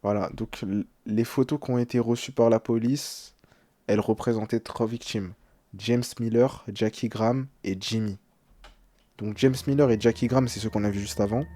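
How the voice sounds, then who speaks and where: A man's voice: lowish voice, steady tone, moderate pace, mellow, dry recording. A young man speaking as a streamer in casual live reaction, translating text aloud with slangy, hesitant, uneasy commentary.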